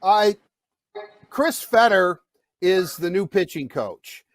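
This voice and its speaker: A man with a big, loud voice hosting a podcast, asking long, rambling questions in casual chat. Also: high-pitched, animated, takes it slowly, dry recording. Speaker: podcast host interviewing a player.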